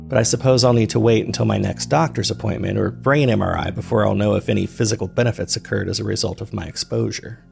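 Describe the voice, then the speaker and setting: A man narrating a first-person audio drama as a weary, reflective man, measured and introspective in his storytelling. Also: on the low side, vocal fry. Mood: tired, uncertain. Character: weary man recounting strange events, reflective and uneasy. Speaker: narrator and host of a fiction podcast.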